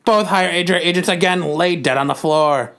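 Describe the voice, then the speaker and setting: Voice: medium pitch, moderate pace, a bit bright. A podcast host narrating a comic book dramatically in an amateur, casual way.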